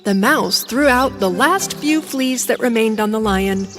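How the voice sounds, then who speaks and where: Female bedtime-story narrator, fairly high voice, very expressive intonation, soft music bed behind. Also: measured pace.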